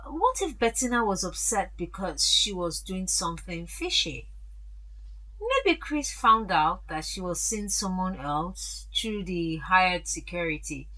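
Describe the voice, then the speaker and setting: A woman playing a frank, protective older mother in a radio drama, speaking deliberately and expressively. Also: fairly low pitch, sharp tone, heavily acted, low-quality recording. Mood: musing.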